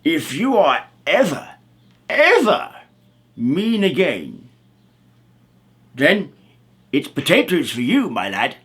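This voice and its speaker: A man's voice: a little high, huge pitch swings, takes it slowly, long pauses, a bit bright, full character voice. A man voicing a doddery, teasing wizard from a children's storybook in a pompous, rambling way. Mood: stern warning.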